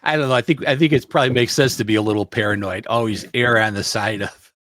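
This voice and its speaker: A man hosting a casual panel discussion, agreeable and quick with short affirmations as he steers the talk. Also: on the low side, fairly even intonation, fairly bright tone. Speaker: host. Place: studio.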